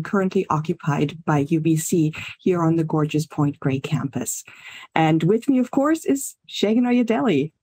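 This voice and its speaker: A woman's voice: lowish voice, darkish tone, slightly rough. A woman co-hosting a podcast, welcoming a guest and asking questions with enthusiasm. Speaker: podcast co-host. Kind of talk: casual podcast interview.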